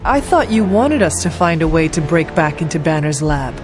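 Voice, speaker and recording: An actress playing an earnest, resolute young warrior heroine in a video game cutscene, speaking formally in measured phrases. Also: female voice, sits low, sing-song. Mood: dry, earnest. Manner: formal, measured, few contractions. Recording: clear music behind voice.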